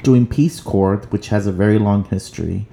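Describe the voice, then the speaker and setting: A man's voice: on the low side, normal speed, vocal fry, dark tone, a little hiss or hum. A man hosting a conversational interview, putting questions and comments to a guest. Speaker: interviewer, podcast host.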